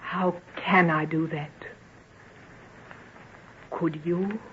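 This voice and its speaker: An actress playing a refined, earnest, loving gentlewoman in old-time radio drama. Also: on the low side, fairly even intonation, very slow, a little hiss or hum. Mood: hurt, pleading. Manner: genteel, earnest. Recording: thin, phone-like old radio recording.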